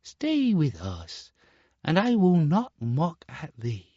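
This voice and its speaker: Man playing a gentle little girl, soft voice, muffled dry sound. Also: on the high side, huge pitch swings, slow pace, slightly rough, no echo, pitched above the performer's own voice, exaggerated voice. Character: kind little woodcutter's daughter.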